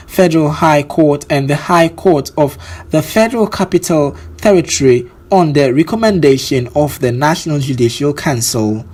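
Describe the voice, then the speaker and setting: A man with a Nigerian accent reads scripted news as a presenter, in a formal, measured delivery. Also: on the high side, darkish tone.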